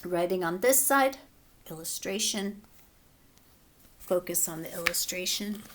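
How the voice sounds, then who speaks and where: A woman teacher reading a children's picture book aloud, relaxed and gently instructive. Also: lowish voice, measured pace, a little hiss or hum.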